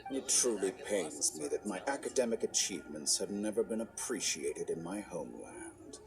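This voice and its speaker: An actor voicing an arrogant, scheming male scholar villain in a game cutscene, smooth and mocking, sometimes breaking into shouts. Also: low voice, very animated, moderate pace, a crowd in the background. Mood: smug, calculating. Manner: smooth, mocking, theatrical, with sudden shouting outbursts. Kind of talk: video game cutscene voice acting. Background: game score music.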